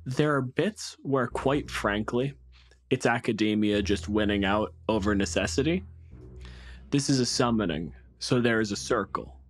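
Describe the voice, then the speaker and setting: A man narrating a tabletop game as game master, describing scenes in deliberate, vivid phrases. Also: fairly low pitch. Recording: music behind the voice. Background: background music.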